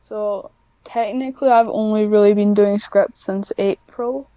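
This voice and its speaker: Woman, unhurried pace, soft-edged mellow tone, casual interview chat, hesitant, self-conscious. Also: medium pitch, fairly even intonation, smooth, dry recording, dull audio. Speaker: podcast guest, scriptwriter.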